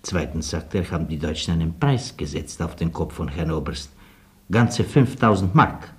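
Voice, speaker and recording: A loyal military servant in a radio drama. His voice is low, and his delivery is dry, mixing deference with cheek. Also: animated, normal speed. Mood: dry, reporting. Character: loyal, dryly cheeky male orderly of a Polish colonel.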